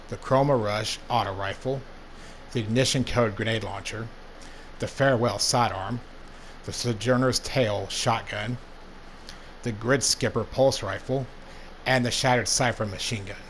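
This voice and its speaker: A young man with a hoarse voice from allergies, casually talking through a game review as the channel's host. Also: lowish voice, slight background noise. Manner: laid-back, plain-spoken, rambling asides. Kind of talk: casual gameplay commentary.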